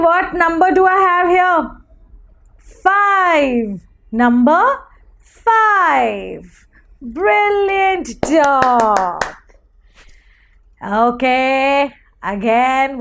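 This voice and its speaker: A woman teacher leading a phonics lesson for young children, enunciating deliberately and giving encouraging prompts and praise. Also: high-pitched. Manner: animated, encouraging, deliberate enunciation with repeated call-and-response questions.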